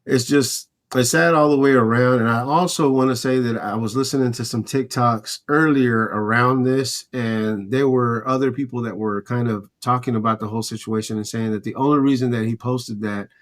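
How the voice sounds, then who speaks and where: A man with a medium-pitched voice hosts a casual sports talk show. He speaks conversationally, recounting events loosely and speculating as he goes. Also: fairly even intonation, moderate pace, hardly any pauses. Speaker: podcast host.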